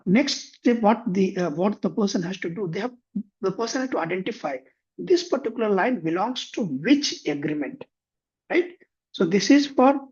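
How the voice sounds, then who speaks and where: A man demonstrating finance software on a shared screen, thinking aloud with frequent restarts. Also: sits high, slightly rough. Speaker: software instructor. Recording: muffled lo-fi computer mic.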